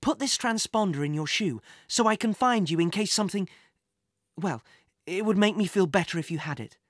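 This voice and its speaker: A storyteller voicing an earnest, excitable young boy secret agent in an adventure audiobook, speaking with urgency. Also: mid-range voice, moderate pace, dry recording, clean studio sound, pitched above the performer's own voice, close to an everyday voice. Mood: urgent. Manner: urgent, intense.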